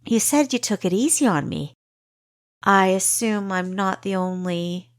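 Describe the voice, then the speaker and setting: A woman's voice: mid-range voice, measured pace, no room sound, clean studio sound, close to an everyday voice. Lady doing a wary young spy girl, pointed questions, takes her time, audiobook character. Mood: guarded.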